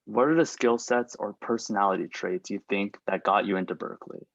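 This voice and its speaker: A man's voice: on the low side, fairly quick, vocal fry, dry recording. A young man whose first language is Chinese, a student answering questions in a casual chat, full of "like" and restarts. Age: young adult. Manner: chatty, many "like" fillers and restarts. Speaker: student panelist reading out questions. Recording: dull lo-fi dry recording.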